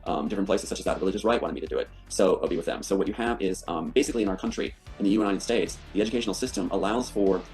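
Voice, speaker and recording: A man answering interview questions with a grandiose, halting delivery full of uh and um. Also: low voice, a little mellow. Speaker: guest interviewee.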